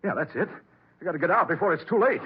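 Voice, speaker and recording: A man's voice: high voice, expressive, rapid-fire, music in the background. An actor playing a guilty, panicky man on the run in a 1940s radio horror drama, muttering to himself and pleading frantically. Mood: nervous, agitated. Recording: noisy, dull 1940s radio recording.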